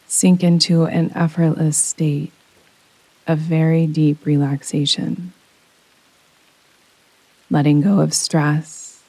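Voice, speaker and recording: Female meditation guide: soothing, very even intonation, long unhurried pauses, intimate dry sound with rain behind. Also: sits low, no echo, low-quality recording. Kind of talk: guided yoga nidra relaxation meditation. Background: soft rain sounds.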